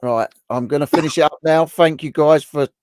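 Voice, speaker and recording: A man's voice: fairly high pitch, a little fast, darkish tone, no echo, clean studio sound. Teasing, laughing man in a shed. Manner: teasing, laughing, chatty sign-off. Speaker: channel host. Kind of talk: casual livestream chat. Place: garden shed.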